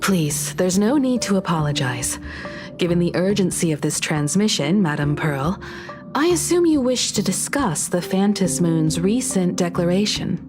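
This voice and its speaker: Thoughtful courteous female companion, game role: low-ish, unhurried, formal, with background music. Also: sing-song. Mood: calm, pensive. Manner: measured, formal. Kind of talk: video game voice acting. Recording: music under the voice.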